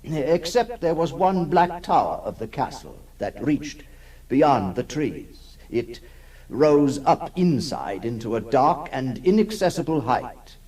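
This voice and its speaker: A man playing a brooding, haunted recluse in a 1960s radio horror monologue, speaking gravely and theatrically with dramatic pauses. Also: on the high side, big pitch swings, darkish tone. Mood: awed, intense. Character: brooding, haunted male recluse raised in a decaying castle. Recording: distant-sounding 1960s radio recording.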